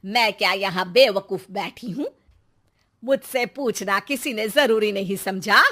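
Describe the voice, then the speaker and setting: A woman's voice: medium pitch, measured pace, fairly bright tone. A woman voicing a bossy, sarcastic middle-aged mother-in-law in a story, full of indignant, cutting questions.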